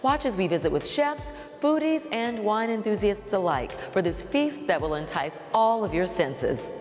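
A woman's voice: mid-range voice, lively intonation, normal speed, a little mellow, faint music behind. A woman in her thirties presenting a scripted introduction as host of a television arts show. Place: large indoor art museum gallery. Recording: long echoing gallery reverb.

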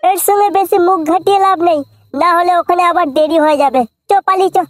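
An actor voicing a brash, foul-mouthed cartoon son-in-law in a squeaky, childlike pitched-up voice. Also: steady tone, quick pace, no echo. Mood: cocky, irritated. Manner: brash, swearing, bragging. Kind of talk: Bengali comedy cartoon dubbing. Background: playful background music.

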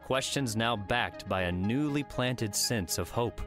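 A man's voice: mid-range voice, measured pace, over music. A man reading scripted story narration as an audiobook narrator.

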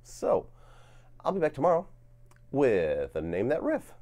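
A man in his forties, a guitar channel host, talking casually through a gear demo in a relaxed, rambling way with lots of fillers and a lingering cough. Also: medium pitch, measured pace. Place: home studio with guitars on wall.

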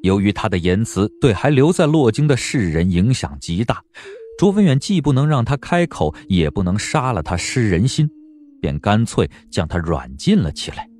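Deep-voiced, expressive male story narrator. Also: moderate pace. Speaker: audiobook narrator. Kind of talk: dramatic scripted narration of a historical novel.

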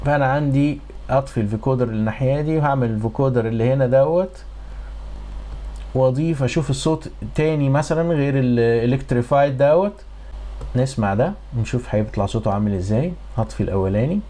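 A middle-aged man with an Egyptian accent giving a casual software tutorial as an audio engineering instructor in a small home studio. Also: mid-range voice, fast.

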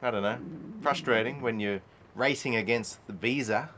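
A middle-aged man rambles through a casual vlog aboard his sailboat, breaking into exclamations as he goes. His pitch sits in an ordinary middle range, and a faint hiss runs under the camera-mic recording. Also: moderate pace, fairly bright tone. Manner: chatty, rambling, exclaims often. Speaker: sailing vlogger. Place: on a sailboat at night, then in the cabin.

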